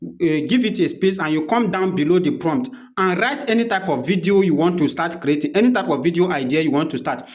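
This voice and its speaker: A man with a Nigerian accent presenting an unscripted software walkthrough, explaining step by step and repeating his instructions as he goes. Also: a little high, animated, a little fast. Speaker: tutorial presenter.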